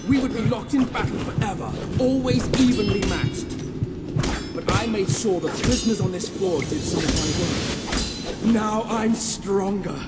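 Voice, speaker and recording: A man's voice: high voice, measured pace. An actor playing a megalomaniac, vengeful usurper king in a video game, with a theatrical, accusing delivery. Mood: gloating. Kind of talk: dramatic video game villain monologue. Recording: loud music under the voice. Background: loud game score.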